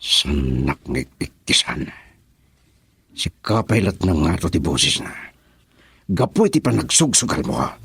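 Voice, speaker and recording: A weary middle-aged Filipino father, worried about providing for his household. His voice is very low and gravelly, with husky edges. The delivery swings widely in pitch, in the dramatic manner of a radio serial. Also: huge pitch swings, unhurried, pauses often, fairly bright tone, no echo, clean studio sound, exaggerated voice. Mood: angry, reproachful.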